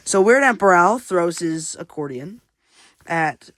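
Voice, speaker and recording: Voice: medium pitch, animated, a little slow, a bit bright, no room sound. Casual young-sounding commentator, close dry recording. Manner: rambling, unsure asides. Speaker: comic creator and narrator. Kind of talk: casual read-aloud commentary.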